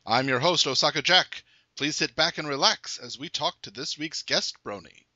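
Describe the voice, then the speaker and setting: A man's voice: a little high, lively intonation, normal speed, sharp tone, no echo, muffled recording. A man hosting a podcast interview, casual and playful, slipping jokes and silly asides into his questions. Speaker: podcast host.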